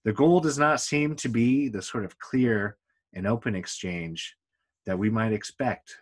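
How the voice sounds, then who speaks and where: A man with a fairly low voice reads from a book. He delivers the text deliberately, phrase by phrase, leaving pauses as he goes. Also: breathy edge. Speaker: book reader. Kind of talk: reading a book aloud.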